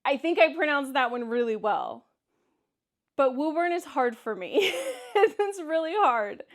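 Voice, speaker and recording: A woman vlogger with a distinctly high voice and a Kansas accent talks casually to her viewers. She is candid and conversational, leaning on words for emphasis as she gives her opinions. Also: very animated, unhurried. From Kansas, United States. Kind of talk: casual solo vlog commentary, answering viewer comments.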